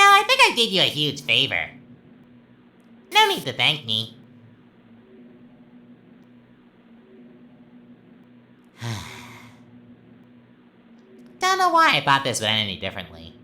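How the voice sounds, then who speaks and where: A woman's voice: rumbling low, pushed and bright, exaggerated voice. A woman voicing a sadistic, childishly gleeful talking flower villain in a video game, taunting theatrically and swinging from sweet to menacing. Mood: smug menace.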